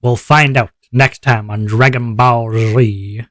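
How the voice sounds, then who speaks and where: A male streamer talks his chat through the event rules in a loose, chatty way. His voice sits at a middle pitch. The sound is close and dry, with no room around it. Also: takes it slowly, dry recording. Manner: upbeat, chatty, checks in with chat often; relaxed lower voice after a viewer redeem. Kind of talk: casual livestream chat explaining rules.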